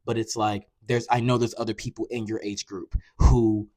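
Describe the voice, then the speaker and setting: Young male podcast host, 20s, animated and sassy, very dry close mic. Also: moderate pace, dry recording. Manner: animated, sassy, conversational with frequent "you know what I'm saying"; rises into loud high outbursts when worked up. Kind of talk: casual solo podcast talk.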